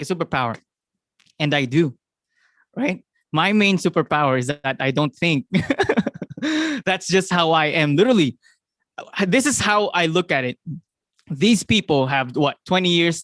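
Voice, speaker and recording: A young man with a Filipino accent giving an excited, fast motivational talk to his sales team, often asking "right?" and laughing. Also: fairly high pitch, no echo. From Philippines. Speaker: network marketing team leader.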